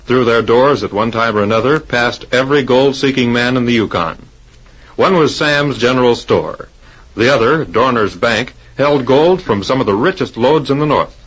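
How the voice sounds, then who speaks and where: A man's voice: mid-range voice, normal speed, fairly bright tone, husky. A man narrating an old adventure serial in a dramatic, scripted 1940s radio announcer style. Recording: dull old radio recording.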